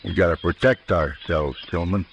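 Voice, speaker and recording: An actor playing a stubborn, matter-of-fact American frontier rancher in a folksy rural drawl, in 1950s radio western style. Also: male voice, low-pitched, normal speed, highs cut off. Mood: stubborn, firm. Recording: noisy old 1950s radio recording.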